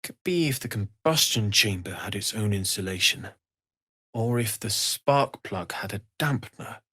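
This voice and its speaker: A man's voice: fairly low pitch, animated, normal speed, sharp tone, slightly rough, no room sound, studio quality, full character voice. An actor playing an earnest, kindly young male blacksmith refugee in a fantasy video game, speaking sincerely and politely with plenty of expression. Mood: helpful, thoughtful. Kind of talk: scripted fantasy game dialogue.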